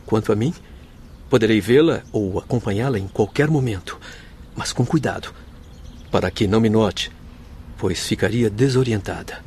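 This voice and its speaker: Man acting a devoted noble doctor in a radio soap, lowish voice, solemn n formal, bit of background noise. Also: normal speed, long pauses, darkish tone, lightly acted. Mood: sorrowful. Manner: solemn, formal, heartfelt declamation. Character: noble grieving Spanish doctor spirit, devoted forgiving husband.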